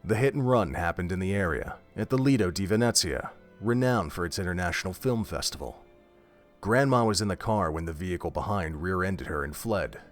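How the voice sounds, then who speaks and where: A man narrating a scripted story in a measured, steady way. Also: deep voice. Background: faint music.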